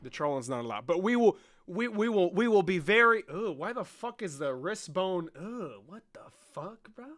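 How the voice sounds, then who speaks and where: Male livestream host. Bright, high voice; profane, mocking, volume swings. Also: big pitch swings, moderate pace, few pauses. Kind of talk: casual livestream chat and debate.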